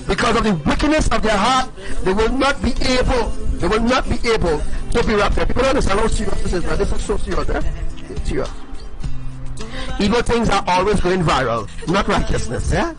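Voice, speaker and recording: A man's voice: high voice, lively intonation, brisk, over music, low-quality recording. A man preaching on a livestream. He talks emphatically, repeats his points and keeps asking his listeners "right?". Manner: emphatic and repetitive, asks the audience "right?".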